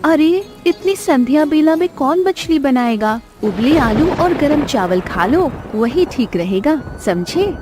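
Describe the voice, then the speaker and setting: A woman's voice: high-pitched, expressive, a little slow, few pauses, over music. A woman voicing a fretful but loving fisherman's wife in an exclamatory Hindi cartoon style. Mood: scolding.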